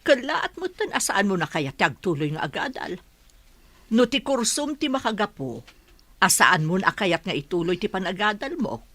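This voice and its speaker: A woman's voice: mid-range voice, big pitch swings, normal speed, pushed and bright, no room sound. A woman acting as a sensible middle-aged mother in a radio drama, advising expressively. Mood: admonishing.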